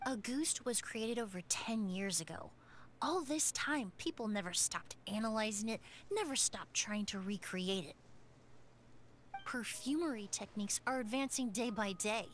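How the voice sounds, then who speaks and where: An actress voicing a calm, composed young woman perfumer who lays out the case in a video game, over game music. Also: fairly high pitch, moderate pace, slight background noise, lightly acted.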